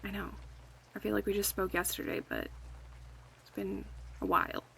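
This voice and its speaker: A woman playing an affectionate, chatty friend in a casual, intimate ASMR roleplay, speaking softly and in a relaxed way. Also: medium pitch, normal speed, creaky, heavily acted. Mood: cozy, chatty. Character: affectionate young woman, cozy longtime friend hosting a night in. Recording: faint background ambience noise.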